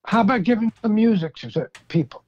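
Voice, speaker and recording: A man in his sixties talks casually in a thick New York accent. His voice is gruff and husky, set a little high for a man. It comes through a dull, lo-fi phone line. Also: animated, fast. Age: 60s. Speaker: podcast guest, rock fan. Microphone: phone call.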